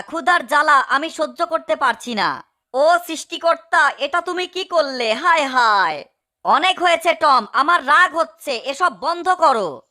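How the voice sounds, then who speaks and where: Woman voicing a high, slow-talking indignant little mouse. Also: heavily acted. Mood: annoyed. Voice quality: high, bright. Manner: plaintive, melodramatic, scolding. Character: small hungry cartoon mouse, self-pitying and indignant. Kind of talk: fan-dubbed cartoon comedy.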